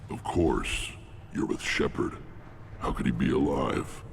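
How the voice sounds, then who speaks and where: A man voicing a gruff, battle-worn alien warlord in a video game, commanding and wry, with a blunt, measured delivery. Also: slight background noise, exaggerated voice. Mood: grave, dismissive. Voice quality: very deep, gravelly. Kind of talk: video game character acting.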